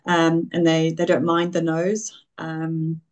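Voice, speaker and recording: A middle-aged South African woman answering interview questions, briskly listing examples. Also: low voice, monotone. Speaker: guest, offshoring business founder. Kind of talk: conversational interview.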